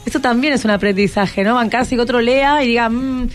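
A woman with a Buenos Aires accent hosts a casual radio interview. Her voice is fairly high and she speaks encouragingly, with music underneath. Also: fairly quick. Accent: Argentine, Buenos Aires. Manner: encouraging, prompting with tag questions. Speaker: radio host.

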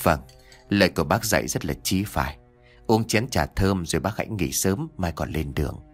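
Man playing a low-voiced, earnest village chief. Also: very animated, a little fast, soft background music. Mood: sad, resigned. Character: remorseful, hospitable older male village chief.

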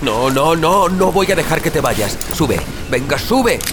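A man's voice: a little high, expressive, unhurried. A man with an accent from Spain playing a desperate, protective, doom-obsessed brother, urgent and pleading, in an audio drama. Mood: desperate, insistent. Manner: urgent, pleading, foul-mouthed. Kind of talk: Spanish audio fiction acting. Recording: dramatic music bed under the voice.

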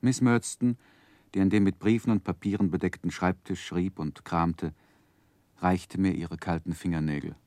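An actor playing a grown man who soberly recalls his childhood, narrating a 1960s radio play in a measured, reflective way. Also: deep voice, fairly even intonation, a little mellow, dry recording. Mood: wistful, reflective.

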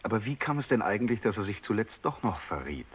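A man's voice: on the low side, very animated, fast, husky. An actor playing an inquisitive, courteous man in a radio drama, speaking politely to an expert. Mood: curious. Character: inquisitive, courteous male listener questioning an expert. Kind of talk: German radio drama acting.

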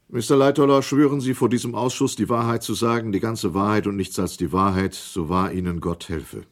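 Actor as solemn senator chairing an inquiry. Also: male voice, lowish voice, fairly even intonation, close to an everyday voice. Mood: solemn, official. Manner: solemn, measured, official, reading out formally. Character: formal American senator chairing a 1912 inquiry. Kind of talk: documentary radio play acting.

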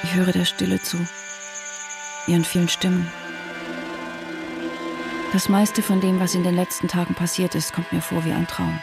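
A woman's voice: on the low side, little pitch movement, normal speed, creaky, long pauses, music in the background. An actress playing a troubled, mistrustful wife in a naturalistic radio drama, restrained and intimate in her delivery. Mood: pensive narration.

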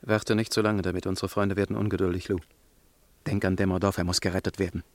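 Low-voiced, impatient conspirator played by a man. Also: level tone, quick pace, no echo, lightly acted. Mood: urgent. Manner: terse, dry, challenging. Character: impatient, sceptical male fellow conspirator, curt and pressing. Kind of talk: dramatic radio play dialogue.

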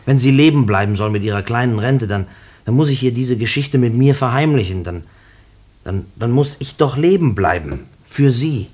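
An actor playing a condemned prisoner in a German radio play, earnest and stubborn, speaking haltingly and pleading, often repeating his words. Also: medium pitch, expressive, a little mellow, natural voice. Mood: urgent, pleading.

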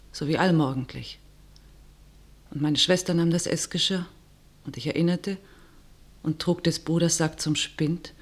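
A woman's voice: low-pitched, slightly rough. Cautious, fearful woman, theatrical verse delivery. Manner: declamatory rhymed delivery. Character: cautious, fearful elder sister recounting wartime terror in verse. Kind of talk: theatrical verse radio drama, narrating and in dialogue.

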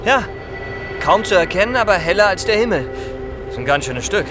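High-pitched, wary, practical man. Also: big pitch swings, brisk, music in the background. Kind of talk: German radio crime drama acting.

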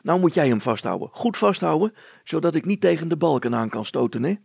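Guy doing an excitable storybook inventor dad, raspy and raised, stammers and repeats stuff. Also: on the high side, fairly quick, no room sound, pitched above the performer's own voice, heavily acted. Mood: overjoyed, excited. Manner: stammering, repeating himself breathlessly. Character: excitable middle-aged male inventor, anxious, overjoyed father.

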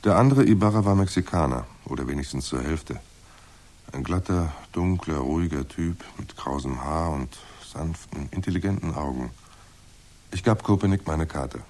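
Very low male voice, husky, laconic private detective, dry wit, deadpan, hardboiled radio play. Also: moderate pace. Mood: dry, observant. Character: laconic, wry male private detective narrating his own case.

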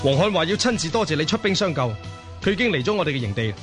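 Man acting an eager, fast-talking young officer. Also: a little high, very animated, over music. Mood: dutiful, brisk. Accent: Cantonese. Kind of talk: Cantonese radio drama acting.

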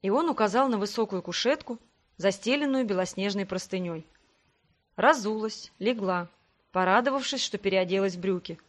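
A woman narrating a first-person fiction audiobook in an expressive, scripted reading style. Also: medium pitch, normal speed.

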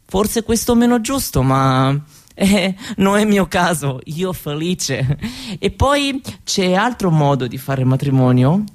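A woman's voice: fairly low pitch, very animated, takes it slowly. An actress playing a proud Roma mother from Romania in a conversational stage monologue, with an Eastern European accent and broken grammar. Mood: proud, chatty. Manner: broken foreign-speaker grammar, conversational, rhetorical tags. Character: proud, cheerful Roma woman from Romania, mother, chatting hospitably. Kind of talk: theatrical monologue drawn from interviews.

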